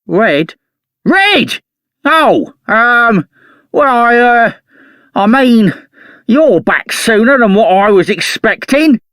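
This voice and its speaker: A woman's voice: a little high, sing-song, slow, a bit bright, no room sound, studio quality, exaggerated voice. A woman playing a flustered, servile underling in a video game, stammering nervously.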